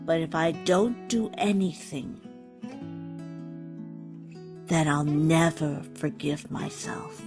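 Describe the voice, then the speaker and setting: A gentle motherly monster guardian from a comic dub, played by a woman. She speaks very slowly and earnestly, tender but resolute, with music running behind her. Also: low-pitched. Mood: worried, tender. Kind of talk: dramatic comic dub acting. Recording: voice over background music.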